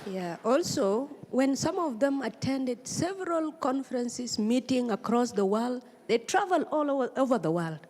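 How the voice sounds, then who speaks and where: A woman from South Sudan, an audience member, asks a panel an earnest, pleading question with pauses and fillers. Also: sits high, expressive, a little mellow, a little hiss or hum. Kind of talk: spontaneous public question at a forum. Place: large conference hall. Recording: long reverb of a conference hall.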